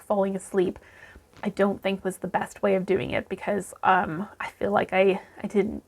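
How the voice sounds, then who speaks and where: A woman talks through her recent reading in a relaxed, chatty way, her voice a little croaky because she is still under the weather. She fills pauses with ums and restarts her sentences as she thinks. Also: mid-range voice. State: croaky voice from illness. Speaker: book vlogger. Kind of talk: casual book review chat.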